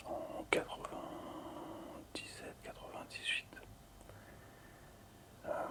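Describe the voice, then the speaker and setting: A man speaks entirely in a breathy, unvoiced whisper. He presents items in an ASMR style, soft and hesitant, lingering on words and repeating them. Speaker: ASMR video host.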